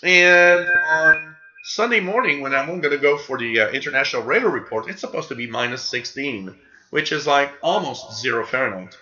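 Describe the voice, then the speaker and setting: A man's voice: medium pitch, expressive, normal speed, bright, forceful tone, muffled recording, faint music behind. A man with a Quebec French accent hosting a live radio hobby show, chatting casually and reading out viewers' comments. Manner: casual, rambling, reads chat names aloud.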